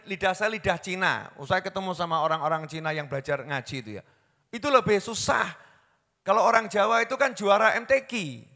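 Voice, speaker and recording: A man preaching a sermon, swinging from hushed storytelling to loud impassioned pleading. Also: sits high. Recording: long hall reverb.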